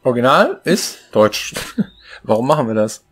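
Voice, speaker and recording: A man's voice: medium pitch, sing-song, moderate pace, faint music behind. A man co-hosting a casual studio chat, talking in a chatty way and throwing out rhetorical questions. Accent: Ruhr German. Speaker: co-host. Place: home studio with shelves.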